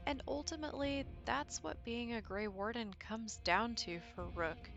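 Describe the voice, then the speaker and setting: A woman's voice: on the high side, lively intonation, moderate pace, gravelly, a bit bright, muffled recording. Woman narrating game lore over music. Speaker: video host. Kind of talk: scripted lore commentary for a video game. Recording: music behind the voice.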